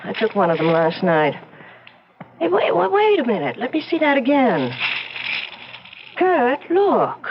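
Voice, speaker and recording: A woman's voice: on the high side, huge pitch swings, measured pace, pauses often, exaggerated voice. An actress playing an anxious but determined young woman in an old radio mystery drama, hesitant and insistent. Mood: agitated, determined. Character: anxious, determined young woman investigating her sister's death. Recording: dull, noisy old radio drama recording.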